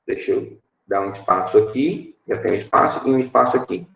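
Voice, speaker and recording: A man in his thirties with a Brazilian Portuguese accent, a teacher explaining app-building blocks in an online class, often pausing with fillers and checking in with his students. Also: mid-range voice, animated, vocal fry, a bit bright, muffled recording. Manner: explanatory, frequent filler "é" and tag "certo?". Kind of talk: online class screen-share tutorial. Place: home room, indoors. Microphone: webcam video call. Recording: echoey room.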